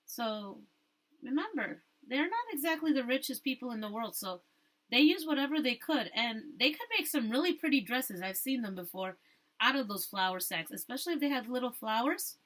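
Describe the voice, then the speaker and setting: A woman teacher reading a storybook aloud, rereading stumbled phrases and pausing to explain things to her listeners. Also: a little high, expressive, a bit bright, low-quality recording.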